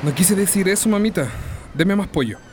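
An actor playing a dutiful grown son in a radio drama, deferential and reassuring, with a Chilean accent. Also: male voice, high voice, animated, normal speed, darkish tone. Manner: deferential, soothing. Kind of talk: Spanish-language radio drama acting. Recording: noisy outdoor ambience.

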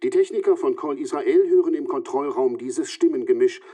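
An actor playing a sober radio news reporter in a radio play, measured and factual. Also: male voice, mid-range voice, expressive, dark tone, slightly rough, no echo.

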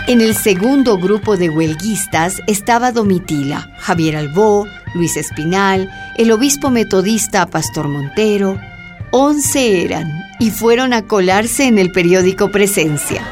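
A woman's voice: medium pitch, lively intonation, a little slow. A woman narrating a scripted radio drama. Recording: music and crowd sounds behind.

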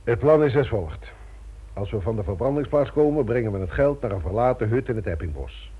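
Steady gang leader, tinny sound. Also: male voice, medium pitch, brisk, breathy edge. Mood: calmly persuasive. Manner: matter-of-fact, persuasive, orderly. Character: pragmatic, steady male robbery gang leader. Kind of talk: radio drama acting. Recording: tinny, narrow old radio recording.

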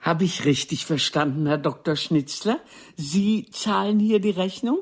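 A woman's voice: on the low side, measured pace, husky. An older woman playing an imperious, theatrical stage actress in a radio play, haughty, teasing and declamatory. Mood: cool, dignified.

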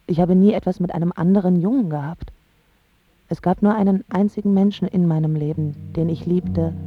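A woman's voice: lowish voice, husky, no room sound, phone-quality sound. An actress playing a passionate, wounded young woman in love, in a radio drama with first-person narration. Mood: tender, pleading.

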